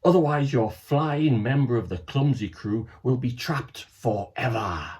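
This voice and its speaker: A man voicing a pompous, scheming male villain in a children's storybook, with slow, booming, gloating delivery. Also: animated, darkish tone, close to an everyday voice. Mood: menacing.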